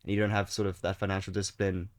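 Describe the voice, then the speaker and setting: A man's voice: sits low, flat delivery, rapid-fire. A young man with a South African accent, a startup founder talking casually as a podcast guest, chatty with many fillers and restarts. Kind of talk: casual interview chat.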